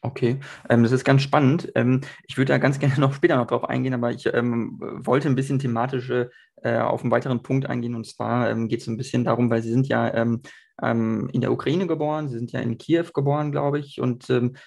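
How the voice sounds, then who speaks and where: A man's voice: mid-range voice, little pitch movement, brisk, vocal fry, a little mellow. A man interviewing a guest, asking long questions full of hesitations. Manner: hesitant, many fillers.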